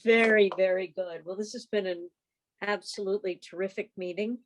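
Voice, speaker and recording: A woman's voice: mid-range voice, fairly even intonation, normal speed, a little hiss or hum. A woman hosting a club video call, speaking informally and giving effusive, appreciative thanks. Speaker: club meeting host. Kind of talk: informal closing thanks at a meeting.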